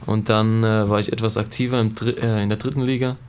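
A man's voice: fairly low pitch, flat delivery, fast talker, a little hiss or hum. A man in his twenties, raised in Germany, chatting casually about his background as a guest footballer in an interview, with many fillers. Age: 20s.

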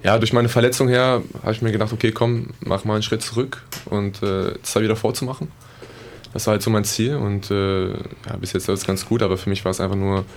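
Young man, footballer guest, low voice, gravelly, halting with fillers. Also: steady tone. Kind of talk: casual interview talk.

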